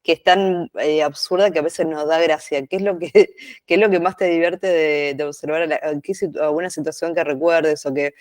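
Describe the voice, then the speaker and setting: Male interviewer, forties, Argentine porteño accent, lightly high voice, informal winding questions, recorded with very little room sound. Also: steady tone, moderate pace, dry recording, clean studio sound. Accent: Buenos Aires Argentine. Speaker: interviewer, host.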